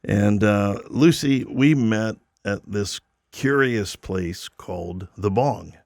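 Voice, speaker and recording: A man's voice: lowish voice, takes it slowly, a little mellow, dry recording, studio quality. A man hosting a podcast, joking and teasing his guest with playful asides. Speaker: podcast host. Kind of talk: casual interview chat.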